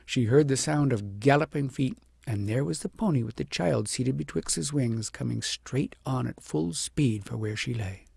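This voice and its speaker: An audiobook narrator reads a fairy tale in a dark, mellow voice. It is strongly creaky and husky, and the recording is dry. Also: medium pitch, normal speed, hardly any pauses, dry recording. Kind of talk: scripted storybook narration.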